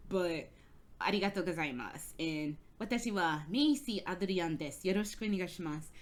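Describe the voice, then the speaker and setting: A woman's voice: on the low side, lively intonation, a little fast. Casting: American woman vlogger, casual and rambling, swears freely, lots of "like"s, restarts sentences. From United States. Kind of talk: casual storytelling vlog. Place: indoors, travelling in Germany.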